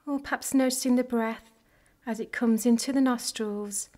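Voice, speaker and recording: A woman leading a guided body scan meditation in a soft, gentle, measured way. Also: fairly high pitch, steady tone. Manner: gentle, measured, unhurried phrasing with pauses.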